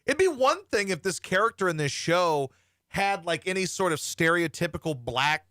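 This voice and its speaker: A man's voice: high-pitched, very animated, moderate pace, bright, forceful tone, no echo. A man hosting a talk show, riffing bluntly and profanely in rambling, opinionated banter on a studio microphone.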